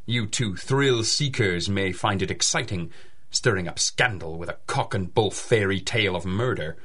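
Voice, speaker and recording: A man's voice: deep voice, moderate pace, fairly bright tone, slightly rough. A man playing a smug, threatening scientist in a 1930s-style radio drama, with a sly, insinuating delivery. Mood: menacing. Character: smug, threatening male laboratory scientist, a suspicious rival.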